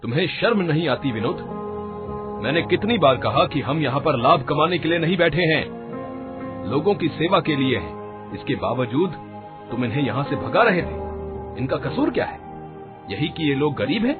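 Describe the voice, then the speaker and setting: A man's voice: fairly high pitch, big pitch swings, normal speed, pauses often. A man playing a stern, principled hospital superior, indignant and reproachful, on a tinny recording. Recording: tinny band-limited sound with loud music.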